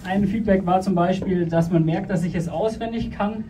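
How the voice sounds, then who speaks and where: A young man doing stand-up on a small club stage with a handheld mic, dry deadpan jokes with hesitant fillers, filmed from the audience. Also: high-pitched, moderate pace, far from the mic. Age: young adult. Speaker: stand-up comedian. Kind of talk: stand-up comedy set. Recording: roomy club sound, crowd noise.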